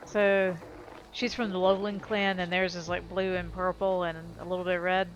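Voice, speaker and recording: A woman's voice: lowish voice, little pitch movement, normal speed, lo-fi sound, slight background noise. A woman giving casual, chatty commentary as the host of a video game playthrough. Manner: informal, chatty. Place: voice-over on game audio.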